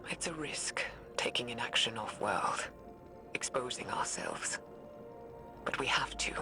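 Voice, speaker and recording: An actress playing a weary, guilt-ridden old woman scientist recording a log in a frail, breathy voice for a video game. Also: very deep voice, animated, whispered, full character voice. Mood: grim, resolute. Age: older. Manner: slow, weary, solemn. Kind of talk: dramatic recorded log monologue. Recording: music behind the voice.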